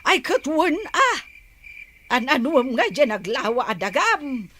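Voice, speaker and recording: An actress with an Ilocano Filipino accent playing a coaxing, nagging village woman in a radio drama, with drawn-out exclamations. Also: sits high, very animated, a bit bright, slight background noise, full character voice. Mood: coaxing, scolding.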